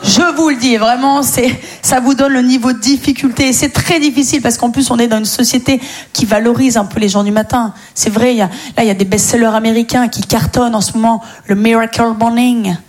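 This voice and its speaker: A woman's voice: on the high side, steady tone, fairly quick, darkish tone. A woman in her thirties doing a stand-up routine on a club stage, wry and self-mocking. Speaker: stand-up comedian.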